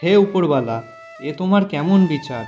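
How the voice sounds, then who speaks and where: A man voicing an honest, gentle, struggling village shopkeeper in a cartoon, earnest and pleading in delivery. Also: on the high side, expressive, moderate pace, lo-fi sound, faint music behind, lightly acted. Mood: despairing. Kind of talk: cartoon voice acting.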